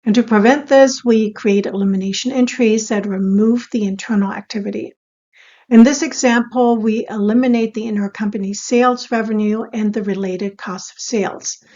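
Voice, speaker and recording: A woman giving a scripted explainer as an instructor, in a measured, step-by-step teaching manner. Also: medium pitch, steady tone, warm tone, dull audio. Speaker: accounting instructor.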